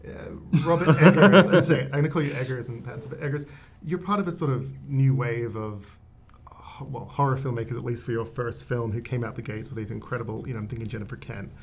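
Chatty interviewer with a gravelly voice. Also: male voice, medium pitch, steady tone, fast. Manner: chatty, laughs often, friendly questions. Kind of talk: casual interview chat. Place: hotel press junket room, indoors.

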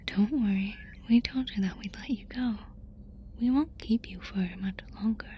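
A woman playing a casual, eager, playful female alien in an ASMR roleplay, speaking in an informal, breathy whisper. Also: on the high side, normal speed, dark tone, slight background noise, natural voice. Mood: reassuring. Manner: informal, reassuring.

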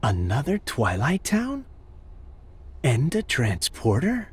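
A man playing a polite, studious young scientist in a video game, articulate and measured. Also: mid-range voice, wildly swooping pitch, slightly rough, full character voice. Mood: thoughtful.

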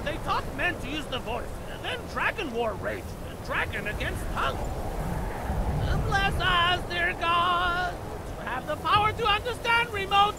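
High-pitched, animated, sardonic game companion, played by a woman, chatty pilgrim, pedantic, rambling. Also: noisy background, heavily acted. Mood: wry, awkward. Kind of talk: video game character dialogue.

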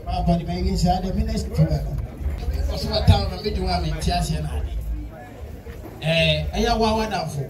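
Man playing brash young son, boastful, high voice, far-off echoey sound, outdoor stage drama. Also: moderate pace, lightly acted. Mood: brash, pleading. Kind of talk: live festival stage drama in Twi with English mixed in. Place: open palace courtyard, outdoors. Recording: distant echoey outdoor PA with crowd.

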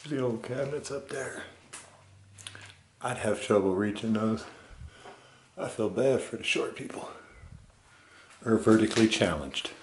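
A man's voice: lowish voice, unhurried, long pauses, slight background noise. A man vlogging as he explores an abandoned old house, chatting and joking as he goes, recorded on a handheld camera. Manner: chatty, joking, wondering aloud. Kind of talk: casual exploring commentary. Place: abandoned house, indoors and overgrown yard.

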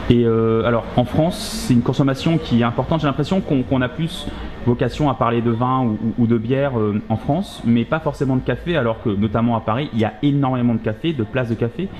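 Fast-talking man interviewing in a café. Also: mid-range voice, darkish tone, a little hiss or hum. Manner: chatty, quick questions with restarts. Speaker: interviewer, blog host. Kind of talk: casual interview. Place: coffee shop, indoors.

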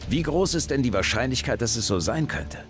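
A man voicing a gruff, laconic commander and steady hero in a sci-fi video game. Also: mid-range voice, brisk, faint music behind, lightly acted. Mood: businesslike. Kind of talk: video game dialogue acting.

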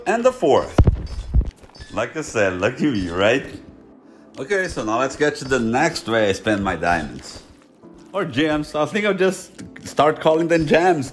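A man from Brazil with a Brazilian accent, Portuguese his first language, giving a chatty, self-joking voice-over for a game guide. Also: mid-range voice. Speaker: gaming YouTuber.

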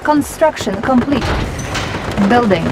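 The high, formal, impersonal announcer heard over music in a strategy game's command bunker, reading out status in clipped phrases. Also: female voice, expressive, slow pace. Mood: impersonal, composed. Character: composed female military battle-control computer announcer. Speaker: game announcer. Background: game music.

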